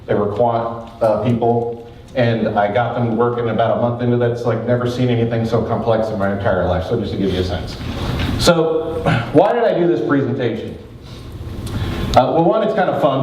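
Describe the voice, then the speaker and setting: A man delivers a lively conference talk, fast and joking with a big voice. He sounds distant from the mic, and the hall throws back a strong echo with faint room noise underneath. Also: medium pitch, dull audio. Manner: fast-talking, joking, energetic. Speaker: conference presenter. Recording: echoey hall from far off.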